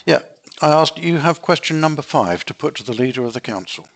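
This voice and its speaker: A man's voice: a little high, expressive, a little fast. A man formally chairing a council meeting, calling speakers and reading questions.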